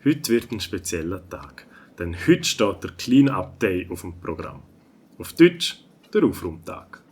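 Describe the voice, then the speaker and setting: A man's voice: low-pitched, big pitch swings, measured pace, a little hiss or hum. A man with a Swiss German accent narrating a scripted children's story.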